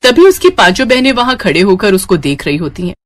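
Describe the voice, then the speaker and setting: A woman's voice: mid-range voice, big pitch swings, brisk. A woman reading scripted narration for a family story.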